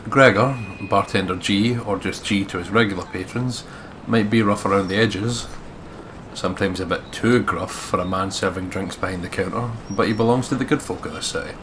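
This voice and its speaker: A man's voice: fairly low pitch, moderate pace, noisy background. A man reading visual novel narration aloud as a let's play co-reader, breaking into casual asides.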